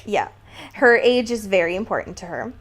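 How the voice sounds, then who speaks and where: A woman co-hosting a podcast, chatting casually about a book and retelling it in an amused, halting way with acted-out quotes. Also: mid-range voice, sing-song. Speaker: podcast co-host. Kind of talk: casual book discussion chat.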